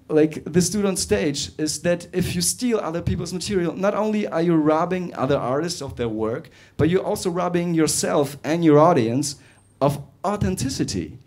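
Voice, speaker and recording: Man, young adult, stand-up comic, animated delivery, varied pitch, club set. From Russia. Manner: animated, conversational, jumps between fast and drawn-out delivery. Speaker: stand-up comedian. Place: comedy club with live audience.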